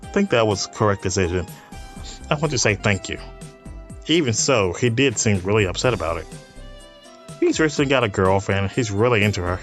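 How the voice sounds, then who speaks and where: A man's voice: lowish voice, animated, a little fast, pauses often. A man narrates a visual novel in a casual let's play style. He stumbles a little as he reads and drops in amused asides, with music playing behind him. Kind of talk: casual read-aloud commentary of a visual novel.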